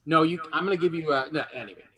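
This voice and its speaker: A man with a high, bright voice hosts a quiz show. He reads questions to the players and trades playful, teasing banter with them. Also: rapid-fire. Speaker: game show host.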